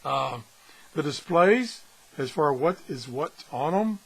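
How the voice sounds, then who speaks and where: Male reviewer, higher-pitched than not husky voice, casual hands-on demo, rambling asides, unscripted. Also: animated, takes it slowly. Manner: rambling, thinking aloud with broken-off asides. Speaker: radio reviewer. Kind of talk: casual, unscripted product demonstration.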